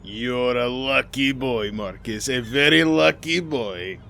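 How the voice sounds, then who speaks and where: A man's voice: medium pitch, takes it slowly, vocal fry, bright, forceful tone, slight background noise, voice pushed up, exaggerated voice. A man voicing a coaxing immigrant salvage boss in an audio story, dramatic and drawn out in his emphasis. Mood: jovial. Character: wheedling, gold-toothed salvage boss, male immigrant sailor.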